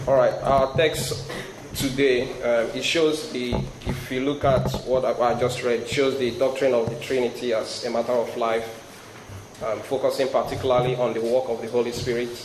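A man in his thirties from Nigeria with a Nigerian accent, giving a sermon as a guest speaker, reading scripture and praying, often pausing with ums and restarting his sentences. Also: mid-range voice, little pitch movement. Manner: hesitant, frequent ums and restarts. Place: large hall before a youth crowd. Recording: echoey room with noise.

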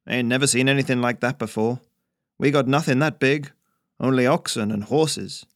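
A man's voice: mid-range voice, normal speed, no room sound, studio quality, close to an everyday voice. A man voicing a pushy, contemptuous frontier trader with an in a story. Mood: scornful. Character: Thaddeus, brash spitting frontier trader with a weapon.

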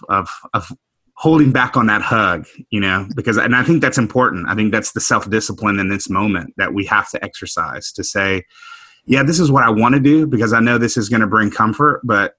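A middle-aged man from Houston, speaking thoughtfully in a group discussion, halting and restarting as he works through his points. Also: on the low side, pushed and bright, no echo. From Houston, Texas. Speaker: discussion panelist. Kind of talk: reflective conversational discussion.

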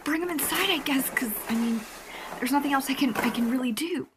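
A woman's voice: high-pitched, brisk, noisy background, natural voice. A young woman playing an anxious, lonely quarantine diarist in an audio drama. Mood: panicked. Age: young adult. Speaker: student voice actor. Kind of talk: audio diary drama acting.

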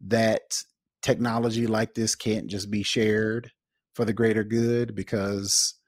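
A man co-hosting a podcast, giving his opinions casually in an emphatic, halting way. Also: on the low side, level tone, no echo, clean studio sound. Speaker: podcast co-host.

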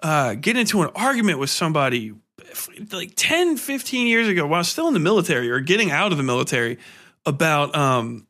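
A man's voice: a little high, pushed and bright. A man talking casually as a podcast host, blunt and rambling, with heavy swearing. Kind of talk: casual, profane conversation.

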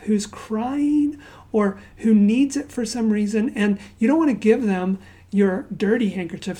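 A woman raised in Zambia giving a sermon. She opens with a quiet prayer and then tells stories conversationally, often dropping to a hushed near-whisper. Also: mid-range voice. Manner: conversational storytelling with fillers and asides. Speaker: pastor.

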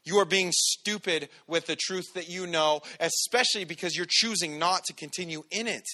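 Loud commanding preacher man. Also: high-pitched, normal speed. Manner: energetic, conversational preaching. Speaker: pastor. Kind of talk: sermon.

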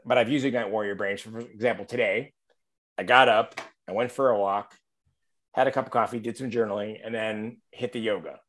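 Laid back host guy, low voice, says yeah a lot, sums things up. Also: steady tone, fairly quick, fairly bright tone. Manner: agreeable, frequent "yeah", recapping. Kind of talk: casual interview.

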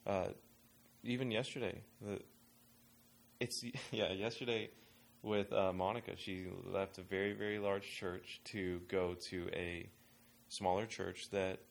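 A man with a fairly low voice talks in a loose, searching way and often restarts his sentences. He speaks as a guest on a casual panel discussion. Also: fairly even intonation, a little slow, vocal fry. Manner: thoughtful, rambling with restarts. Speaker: ministry worker advising pastors on young adults.

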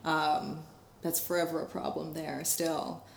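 A woman's voice: deep voice, flat delivery, measured pace, gravelly. A woman in her thirties from Connecticut, talking casually as an interviewee, hesitant, with many ums and restarts. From Connecticut, USA. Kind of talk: casual oral-history interview. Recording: echoey room.

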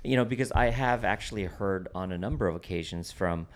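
A man interviewing a peer about church life, halting and restarting his phrases and checking that he is understood. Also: sits low. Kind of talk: conversational interview.

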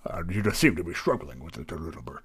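A man's voice: low voice, sing-song, fast, slightly rough, voice pushed down, heavily acted. A man voicing a large, nervous, friendly half-elven tavern keeper who stammers politely in a tabletop game. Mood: polite, puzzled.

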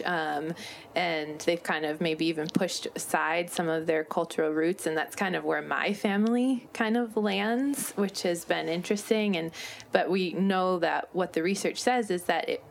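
A woman with a fairly low voice hosts a conversational interview. She feels her way through her phrasing, searching for words. There is noticeable noise behind her. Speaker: podcast host. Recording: clear steady background noise.

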